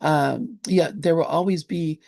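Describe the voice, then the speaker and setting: Chatty host of a group call, quick to laugh, dry sound. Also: medium pitch, fairly even intonation, creaky, mellow, dry recording. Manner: chatty, laughs often, stumbles over words. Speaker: host of a study gathering. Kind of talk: casual group discussion.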